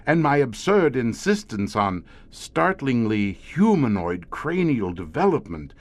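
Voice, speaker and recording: An actor playing a grim veteran scientist who heads a secret monster-hunting squad in an audio horror drama, speaking in a deliberate, erudite, brooding storyteller's manner. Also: male voice, medium pitch, big pitch swings. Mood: grave, brooding.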